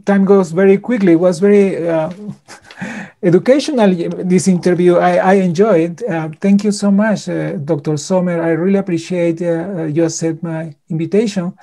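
A middle-aged man from Peru with a Peruvian Spanish accent, hosting an interview over a video call, speaking haltingly with many filler sounds. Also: sits high, gravelly, warm tone. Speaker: interview host. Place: home room with shelves. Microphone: studio mic on video call.

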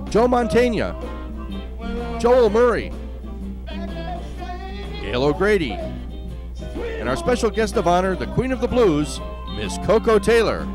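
A man's voice: high-pitched, low-quality recording. A man announcing a scripted promotional voice-over.

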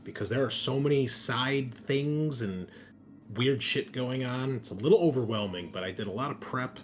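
A man's voice: mid-range voice, moderate pace. A man hosting a tabletop game in casual chat, joking and swearing freely as game master.

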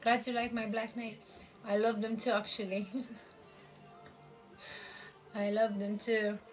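A woman's voice: a little high, level tone, slow pace, soft background music. A woman in her thirties from Moldova with a Romanian accent, chatting casually with viewers on a livestream from her bedroom, answering comments. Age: 30s. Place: bedroom in front of padded headboard, indoors. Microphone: phone camera at arm's length.

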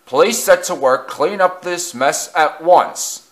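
Man as a stern, unhurried railway director. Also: mid-range voice, very animated, sharp tone, exaggerated voice. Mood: firm. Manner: formal, scolding.

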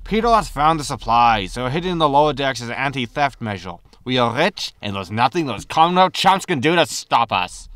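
A man's voice: mid-range voice, big pitch swings, a little fast, hardly any pauses, fairly bright tone, dry recording. A man playing a greedy, breezy, disgraced space haulage captain in a sci-fi comedy audio drama, flippant and full of excuses. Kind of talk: science fiction comedy audio drama acting.

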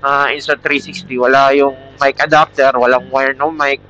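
A man's voice: medium pitch, level tone, normal speed, pushed and bright. A man with a Filipino accent chatting casually as a motovlogger while riding, recorded through a helmet intercom mic. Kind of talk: casual rambling vlog talk with product pitch. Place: outdoors, riding a motorcycle at night. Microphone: Bluetooth helmet intercom mic. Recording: muffled helmet intercom mic, band-limited.

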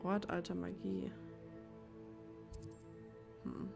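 A woman's voice: fairly low pitch, little pitch movement, extremely slow, vocal fry, over music. A woman giving casual gameplay commentary, musing as if thinking aloud to herself. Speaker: let's play gamer.

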